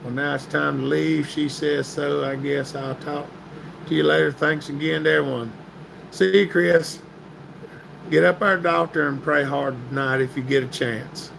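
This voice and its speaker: Male streamer, folksy, greets chat by name, voice going hoarse, gravelly edge, light background hiss. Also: fairly high pitch, fairly even intonation, moderate pace. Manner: folksy, answering viewer comments by name. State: getting hoarse and stuffy. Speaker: livestream host reading chat. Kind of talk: casual live-stream chat. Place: indoor workshop room, sitting at a metal table.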